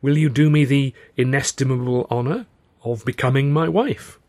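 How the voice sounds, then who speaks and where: A man's voice: medium pitch, normal speed, darkish tone, dry recording, pitched above the performer's own voice, natural voice. A man playing a hot-tempered, flustered husband in audiobook dialogue, blustering and emphatic. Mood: nervous, formal.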